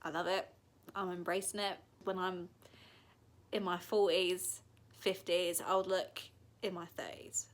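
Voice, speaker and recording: A woman in her thirties giving a candid, chatty reflective vlog, sometimes breaking into excited laughter. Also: fairly low pitch, unhurried, pauses often. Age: 30s. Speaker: vlogger.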